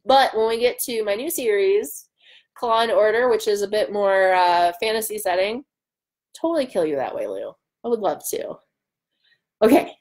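Woman hosting a casual livestream at home, kinda loud n excitable, chats with commenters. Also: mid-range voice, moderate pace. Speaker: author hosting a live stream. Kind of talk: casual live chat, answering viewer comments. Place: room at home by a large window.

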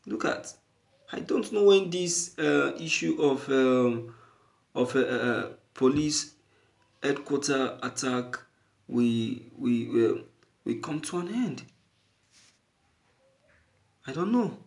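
A man giving opinionated news commentary as an online commentator, halting and deliberate, repeating phrases emphatically for stress. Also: mid-range voice, expressive, vocal fry.

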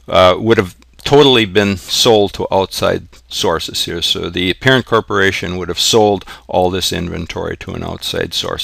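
A man's voice: low-pitched, creaky, bright, forceful tone, dry recording. A man narrating an informal tutorial as an accounting instructor, explaining worked examples step by step. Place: close indoor voice-over for a screen recording.

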